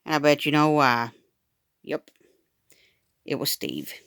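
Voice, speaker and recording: A woman reading a children's book aloud in an expressive, dramatic storytime style. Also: deep voice, slow, creaky, clear, resonant tone, no room sound, studio quality. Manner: animated, dramatic. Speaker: storytime reader.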